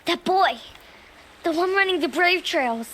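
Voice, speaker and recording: A woman's voice: extremely high pitch, lively intonation, unhurried, fairly bright tone, heavily acted. A female performer playing an earnest, curious and stubborn little girl in a video game, questioning and insistent. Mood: urgent, defiant.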